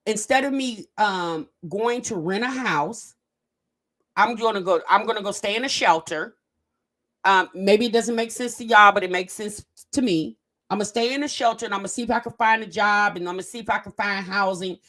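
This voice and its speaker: A woman's voice: medium pitch, moderate pace. Chatty woman hosting reaction commentary. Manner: animated, drags words out for emphasis, talks straight to viewers. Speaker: host of a reaction commentary channel. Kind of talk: casual reaction commentary to viewers.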